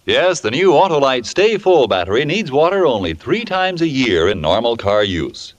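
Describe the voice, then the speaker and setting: A man's voice: medium pitch, big pitch swings, moderate pace. A man announcing a 1940s radio commercial with an emphatic, polished sales delivery. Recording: dull vintage radio recording.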